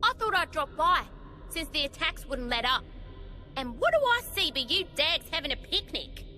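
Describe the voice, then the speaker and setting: A cocky, irreverent young fighter girl, very high and bright, with slangy lines that swing up and down. It is game cutscene acting. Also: big pitch swings, moderate pace, faint music behind, exaggerated voice. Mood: breezy, cocky. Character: brash, cheeky young woman fighter, irreverent.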